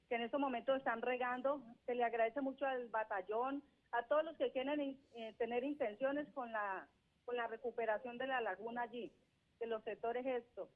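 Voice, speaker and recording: Woman caller, earnest and pleading, higher voice, on a tinny phone line. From Colombia. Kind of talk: phoned-in question. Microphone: telephone.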